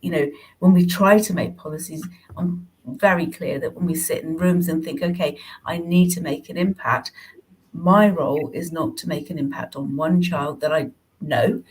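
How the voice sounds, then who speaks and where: A woman speaking reflectively as a panelist, measured and earnest. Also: sits low, steady tone, rough recording. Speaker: podcast panelist.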